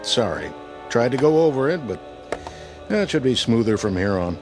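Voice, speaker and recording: An actor playing a steady airline captain in a radio drama, with wry, easygoing authority. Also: male voice, medium pitch, moderate pace, over music. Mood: calm authority. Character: steady, dryly joking male airline captain. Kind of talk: vintage-style radio drama acting.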